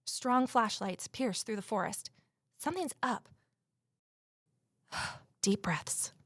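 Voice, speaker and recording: A woman's voice: fairly high pitch, animated, takes it slowly, a bit bright, no room sound, studio quality, full character voice. An actress playing a guarded, lonely amateur sleuth who narrates her own mystery in an introspective audio drama style. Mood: uneasy.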